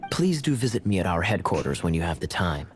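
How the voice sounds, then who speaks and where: An actor voicing a suave, teasing knight in a video game, speaking in a wry, theatrical manner. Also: male voice, low voice, big pitch swings, fast talker, soft background music. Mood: playful praise. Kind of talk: video game character dialogue.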